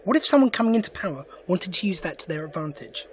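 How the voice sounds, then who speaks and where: An actor playing a sharp, principled alien adventurer in an audio drama, brisk and inquisitive. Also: male voice, sits high, lively intonation, slightly rough. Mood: thoughtful, brisk. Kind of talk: full-cast audio drama acting.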